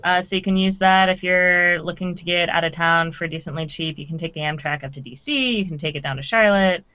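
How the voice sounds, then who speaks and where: Bright, clear-voiced young woman. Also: fairly low pitch, fairly quick, no room sound, dull audio. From North Carolina, US. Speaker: graduate student panelist. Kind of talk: casual live Q&A panel.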